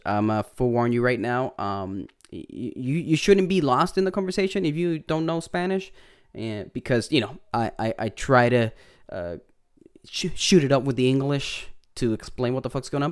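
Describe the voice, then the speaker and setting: A man's voice: mid-range voice, moderate pace, clean tone. A man with a Puerto Rican accent hosting a podcast, rambling casually with swearing, restarts and Spanglish. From Puerto Rico. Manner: rambling, profane, laughs often, restarts, mixes Spanish into English. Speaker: podcast host. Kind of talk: casual podcast intro and chat.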